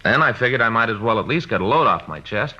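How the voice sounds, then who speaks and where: Bright, forceful, cocksure American cop. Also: male voice, lowish voice, expressive, quick pace, slightly rough, exaggerated voice. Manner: folksy, insistent, know-it-all. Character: cocksure, chatty married male police detective giving advice. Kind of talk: 1950s radio drama acting. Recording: dull, band-limited old radio recording.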